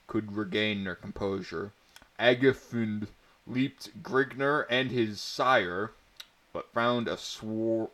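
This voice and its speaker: A man reading a pulp fantasy story aloud as narrator, in a theatrical, mock-serious dramatic style. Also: lowish voice.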